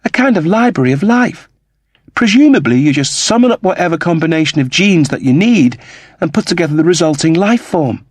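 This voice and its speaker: A man voicing a fussy, high-pitched robot servant. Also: animated, a little fast, no echo. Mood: earnest, explanatory. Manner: precise, explanatory.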